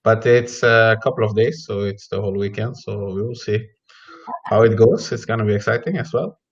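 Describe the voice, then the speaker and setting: A man's voice: fairly low pitch, brisk, husky. A man from Sweden, originally Colombia, giving conversational chess analysis as a guest commentator, hesitantly thinking aloud. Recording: slightly dull, lo-fi audio.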